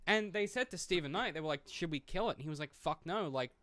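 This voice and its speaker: A man co-hosting a podcast, talking casually about film in an animated, opinionated way with some swearing. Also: fairly high pitch, fast talker, no room sound. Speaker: podcast co-host.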